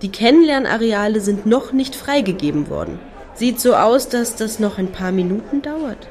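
A woman's voice: on the high side, expressive, moderate pace, darkish tone, natural voice. A woman in a radio play acting a matter-of-fact club-goer with a plain, slightly defensive manner.

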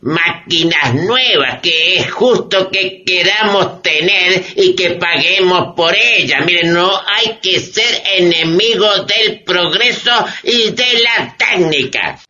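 An actor playing an earnest, chiding defender of progress and business in a satirical radio drama. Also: male voice, high voice, expressive, slow, few pauses, pushed and bright, highs cut off, slight background noise, heavily acted. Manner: chiding, reasoning.

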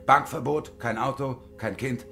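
An actor playing a bitter, desperate hostage-taking engineer in his fifties in a radio drama, with sardonic, menacing, deliberate phrasing. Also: medium pitch, fairly even intonation, creaky, pushed and bright, soft background music. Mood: sardonic, formal. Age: middle-aged.

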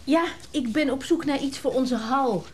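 An actress playing a polite, inquisitive customer in a radio play, genteel and hesitant. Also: female voice, sits high, a little slow. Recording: slightly noisy old radio play.

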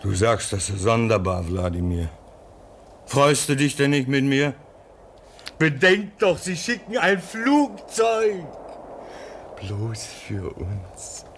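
An actor playing an eager leader of a stranded polar crew, giving orders in a radio play. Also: male voice, mid-range voice, animated, takes it slowly, pauses often, slight background noise.

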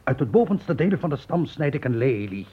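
Husky, animated, dramatic sculptor. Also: male voice, mid-range voice, normal speed. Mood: proud. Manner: flowery, dramatic. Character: florid male sculptor, proud but superstitiously fearful. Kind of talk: radio play acting. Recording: dull old radio recording.

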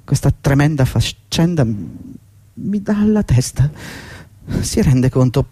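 A man's voice: mid-range voice, lively intonation, measured pace, dark tone, husky, no room sound. An actor playing a middle-aged, servile and agitated long-time employee pleading with his boss in a fretful, rambling radio drama performance. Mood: flustered, apologetic. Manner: fretful, deferential, rambling and insistent. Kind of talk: theatrical radio drama acting.

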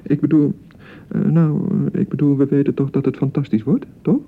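An actor in a radio play playing an awkward young bridegroom eager to reassure, halting and repeating himself, with a Netherlands Dutch accent. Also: male voice, a little high, level tone, lightly acted. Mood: insistent, reassuring. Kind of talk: naturalistic radio play dialogue. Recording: thin phone-like radio broadcast sound.

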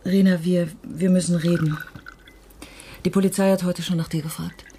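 An actress playing a caring, worried mother in a radio play, gently coaxing. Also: lowish voice, flat delivery, moderate pace, a little hiss or hum, natural voice. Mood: gentle, concerned.

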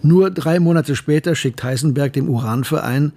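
An actor playing a sober male narrator who recounts wartime history in a measured, factual way, with a husky voice. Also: a little high, dark tone. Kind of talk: scripted narration in a radio play.

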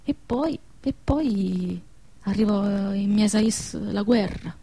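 A woman's voice: medium pitch, slow, gravelly, mellow, husky, heavily acted. An actress playing an anguished, protective peasant woman amid war ruins in a radio play, plaintive and insistent, with a central-southern Italian dialect. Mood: wistful storytelling. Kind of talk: emotional radio theatre acting in dialect.

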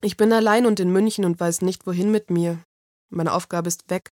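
An actress voicing a lost, lonely narrator's inner monologue in a scripted radio drama. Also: female voice, lowish voice, steady tone, normal speed, darkish tone, dry recording, clean studio sound, natural voice. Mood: lost, wistful. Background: plucked guitar music.

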